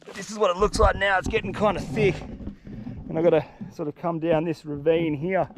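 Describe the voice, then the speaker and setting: A man's voice: high voice, fairly even intonation, fairly quick, noisy background. A young man with a congested, blocked-up nose, chatting casually to camera as he hikes through a humid forest. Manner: laid-back, chatty, slangy. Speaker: fishing vlogger. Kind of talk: casual outdoor vlog to camera. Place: dense humid forest, outdoors.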